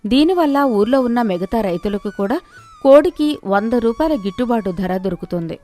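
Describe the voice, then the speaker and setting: A woman's voice: fairly high pitch, lively intonation, normal speed, mellow. A woman storyteller delivering scripted narration of a village moral tale. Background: music.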